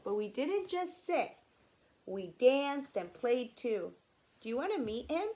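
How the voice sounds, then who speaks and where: Woman reading as an earnest, animated little boy. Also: on the high side, slow, pitched above the performer's own voice, heavily acted. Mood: eager. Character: earnest, upset, curious little boy in a picture book.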